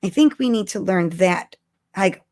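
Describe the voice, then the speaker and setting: A woman talks as a podcast co-host in an informal, chatty style. She works through her thoughts out loud and leans on key words for emphasis. Her pitch sits in an average range for a woman. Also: expressive, a little slow. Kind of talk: casual fan discussion.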